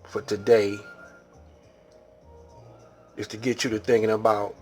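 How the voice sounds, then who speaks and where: An American man hosting a casual monologue, talking in a halting, rambling, streetwise way. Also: on the low side, level tone, darkish tone, soft background music. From Detroit, Michigan, United States. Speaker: video host.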